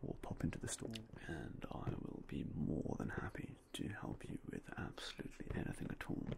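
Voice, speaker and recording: A young man whispering in a polite, unhurried way as a game store clerk in an ASMR roleplay, close to a binaural mic. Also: very deep voice, very animated, gravelly, noisy background. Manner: soft, polite, unhurried shopkeeper talk with fillers. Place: indoors in front of a game store backdrop. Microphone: binaural mic close to the face.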